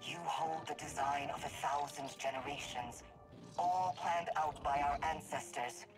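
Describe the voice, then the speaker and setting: Slow, solemn alien hive royal. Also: female voice, sits low, steady tone, music in the background, rough recording. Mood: reverent, menacing. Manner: stately, declamatory. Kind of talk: video game dialogue.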